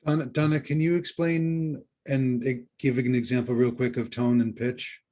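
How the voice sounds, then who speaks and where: Male podcast co-host, medium pitch, casual coaching talk, halting phrasing that often circles back. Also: little pitch movement, dark tone.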